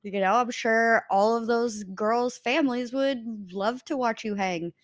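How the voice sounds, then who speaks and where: A woman's voice: a little high, measured pace. A woman co-hosting a casual true-crime podcast, blunt and sarcastic in her remarks. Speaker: podcast co-host.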